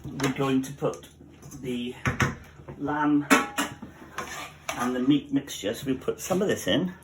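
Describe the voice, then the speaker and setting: A man's voice: mid-range voice, takes it slowly, very noisy. A man with a Welsh accent who runs a cafe, talking viewers through a cooking tutorial at his home kitchen in a chatty way, with joking asides. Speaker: cafe cook.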